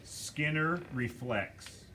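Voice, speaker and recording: Slow, deliberate man reading directions. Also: on the high side, bright, forceful tone, a little hiss or hum, poor audio. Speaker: narrator reading stage directions. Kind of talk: script table reading.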